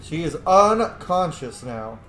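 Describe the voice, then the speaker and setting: A man's voice: fairly high pitch, big pitch swings, slow, a bit bright, reverberant, soft background music. A man giving casual let's-play commentary as a video host, playful and sassy and swearing freely.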